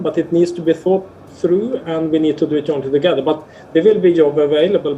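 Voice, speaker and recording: Man, panelist, mellow slightly higher voice, muffled lo-fi audio. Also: slight background noise. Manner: measured, many filler sounds. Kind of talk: online panel discussion.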